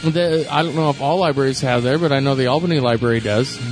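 A man co-hosting a casual chat, easygoing and halting, with many fillers. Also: mid-range voice, poor audio. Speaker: co-host. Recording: dry close sound with faint music.

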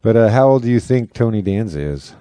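A middle-aged man with a low, warm and mellow voice chats loosely on a podcast, rambling and joking and sometimes trailing off mid-thought. The sound is dry and close. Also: expressive, a little fast, no room sound. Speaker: podcast co-host. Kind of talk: casual chat.

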